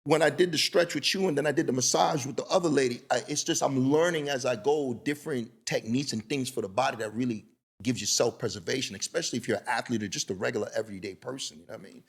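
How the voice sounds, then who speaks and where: Middle-aged podcast host guy, gravelly and kinda raspy, rambly storyteller vibe. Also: mid-range voice. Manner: loose, storytelling, lots of "you know what I mean". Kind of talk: casual conversational interview.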